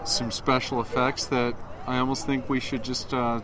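A man's voice: medium pitch, level tone, normal speed. Eager man outdoors amid a crowd. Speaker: guest photographer. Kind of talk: casual interview chat. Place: outdoors at a haunted attraction. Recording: busy crowd behind. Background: crowd noise, screaming.

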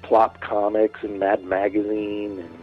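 A man's voice: lowish voice, level tone, unhurried, soft background music. A man in his late forties, a guest artist, chatting casually and rambling a bit over a phone line. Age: 40s. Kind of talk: casual interview chat.

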